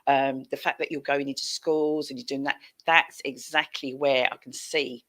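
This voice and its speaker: A woman's voice: very deep voice, steady tone, moderate pace, a bit bright. A middle-aged British woman hosting a casual live chat show over a video call, effusive and informal, repeating phrases for emphasis. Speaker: talk show host. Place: indoor room at home, on a video call. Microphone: headset.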